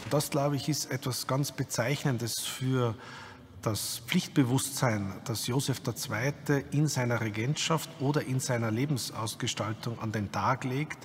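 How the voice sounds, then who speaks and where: A man's voice: mid-range voice, a little slow. A man explaining as an expert in a documentary interview, academic and long-winded. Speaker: expert commentator. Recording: long reverb, faint ambient noise.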